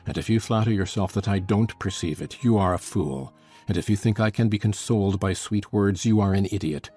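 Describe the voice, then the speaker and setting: A low, dark-voiced brooding man played by an actor in a dramatized classic novel. He is bitter and menacing, growling cutting, scornful lines with music underneath. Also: brisk, breathy edge. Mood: vengeful.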